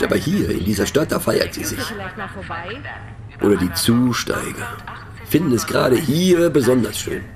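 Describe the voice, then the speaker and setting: Slow, ironic middle-aged man, lively pitch. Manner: thoughtful, ironic, hesitant, breaking off to question the listener. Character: musing, mocking male narrator, rambling to fellow train passengers. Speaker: narrator. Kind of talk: radio play monologue.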